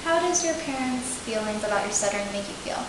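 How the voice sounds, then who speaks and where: A young woman acting as a student clinician, asking intake questions in a measured way with frequent "okay"s. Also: on the high side. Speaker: student clinician interviewing a client. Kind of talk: scripted clinical interview. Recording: echoey room with steady hiss.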